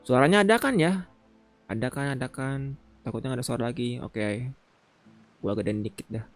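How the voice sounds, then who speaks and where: An Indonesian-accented man commentates casually on a game in slangy, informal speech. His voice has an ordinary male pitch, with faint music playing behind him. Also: brisk. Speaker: game streamer.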